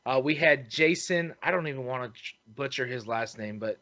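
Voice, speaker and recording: A man's voice: medium pitch, normal speed, crowd noise. A man casually reading out fishing tournament results on a podcast, with lots of fillers. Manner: relaxed, stumbling over names with fillers. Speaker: podcast host.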